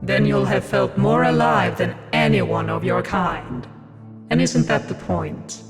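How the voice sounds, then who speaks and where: Gravelly, echoing male God. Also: a bass voice, wildly swooping pitch, slow, heavily acted. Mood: commanding, teasing. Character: God, a sly male deity sending mortals on a mission. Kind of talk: audio drama acting. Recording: long cavernous reverb over loud music.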